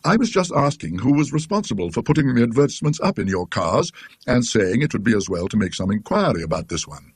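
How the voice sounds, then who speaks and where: A man voicing a polite, educated British gentleman in a ghost story, mildly inquisitive, with a courteous, formal manner. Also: mid-range voice, very animated, fast, husky, dry recording, studio quality, pitched above the performer's own voice. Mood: politely inquiring.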